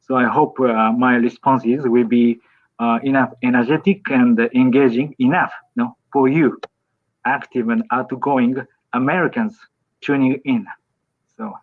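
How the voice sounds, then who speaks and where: A priest in his fifties from Japan, speaking English with a Japanese accent. He talks slowly, with many pauses and restarts. The sound is thin and tinny, like a video call. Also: mid-range voice. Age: 50s. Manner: hesitant, many fillers and restarts. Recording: tinny phone-like call audio.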